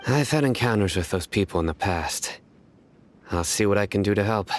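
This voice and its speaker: A man's voice: lowish voice, expressive, normal speed, a little hiss or hum, natural voice. A man voicing a stern, solemn former law enforcer in a video game, speaking bluntly and formally. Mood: serious. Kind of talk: video game character dialogue.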